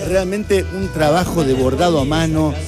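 A man's voice: on the high side, normal speed. A man with an Argentine accent hosting a casual show, bantering and telling stories emphatically and colloquially. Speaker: morning radio and streaming show host. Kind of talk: lively casual banter with co-hosts. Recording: music playing loudly behind. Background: music.

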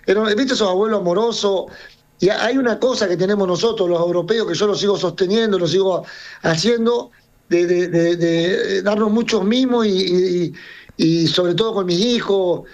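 A man's voice: sits high, moderate pace. A middle-aged man from Serbia who runs a circus, talking casually in an interview and rambling with frequent restarts and repeated words. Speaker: circus owner. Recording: dull lo-fi sound.

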